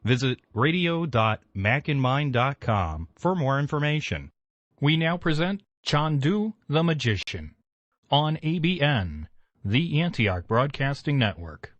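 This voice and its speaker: Animated male station announcer, very dry sound. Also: medium pitch, measured pace, no room sound. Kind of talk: scripted radio continuity announcing. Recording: slightly dull, dry recording.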